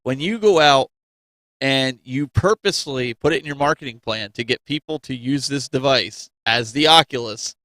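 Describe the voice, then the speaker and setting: A man's voice: medium pitch, moderate pace, pushed and bright, no echo, rough recording. A man hosting a gaming talk show, debating casually and emphatically, often repeating his phrases. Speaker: host of a gaming news show.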